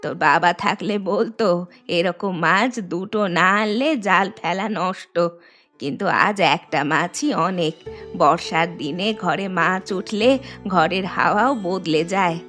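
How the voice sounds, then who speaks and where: A woman's voice: on the low side, faint music behind. A woman voicing an elderly Bengali mother-in-law in a cartoon, firm and traditional, fondly chiding and instructing. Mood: pleased, musing. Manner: unhurried, instructive, gently chiding. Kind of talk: animated moral story voice acting.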